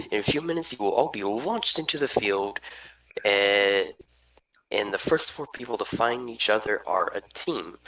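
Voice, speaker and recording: A man's voice: on the low side, a bit bright, slight background noise. A man playing a blunt, stern male school principal addressing students in an amateur table read. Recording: distant from the mic.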